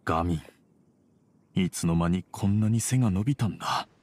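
An actor playing a reserved middle-aged father and merchant in an anime game, with measured, formal speech. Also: deep voice, a little mellow, slight background noise, natural voice. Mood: gentle, hesitant.

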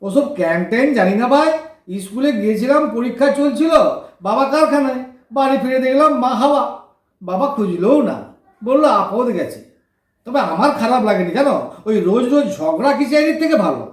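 A man's voice: extremely high pitch, lively intonation, a little fast, recorded from a distance. An actor playing a cheeky, kind-hearted young garage boy with colloquial West Bengal speech, in teasing, slangy audio drama banter. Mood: offhand, wistful. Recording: echoey room, off the microphone.

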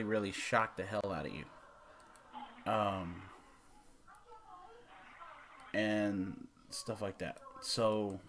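A man in his thirties giving a casual, unscripted movie review as a vlog host, rambling and halting, with some mild swearing. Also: lowish voice, fairly bright tone, slight background noise. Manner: rambling, halting, mildly profane. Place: small room indoors at home.

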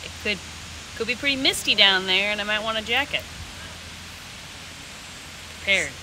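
A woman's voice: mid-range voice, normal speed. Bubbly young woman vlogging outdoors. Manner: bubbly, excited, playful. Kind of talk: casual chat. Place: campsite outdoors. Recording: noisy outdoor camera audio.

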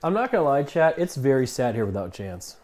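A man's voice: medium pitch, brisk. A man in his early thirties hosting a casual live stream from his home kitchen, blunt and dry in his replies. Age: early 30s. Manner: blunt, dry, deadpan refusals. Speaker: streamer host.

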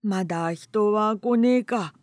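A woman's voice: mid-range voice, slow, darkish tone, no echo, studio quality, natural voice. A woman voicing a meek, complaining male sidekick in a storybook reading, with plaintive rural speech. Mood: pleading.

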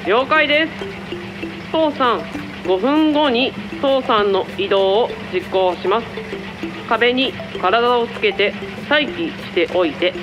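A woman acts out a dutiful young operator speaking over an intercom in a radio drama. Her voice is high, polite and composed, with music behind it. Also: slow, lo-fi sound. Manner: polite, procedural.

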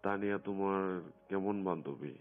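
A man's voice: sits low, normal speed. A man speaking in a private phone conversation in a coaxing, insistent manner. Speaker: phone caller in a recorded conversation. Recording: muffled lo-fi phone recording with music.

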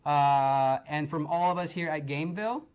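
Young male stream host, a bit hoarse, upbeat, lapel mic in a studio. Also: on the high side, normal speed, darkish tone. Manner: upbeat, filler-heavy presenting. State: hoarse from long talking. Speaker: livestream host. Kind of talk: casual game-show chat. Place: indoor studio set.

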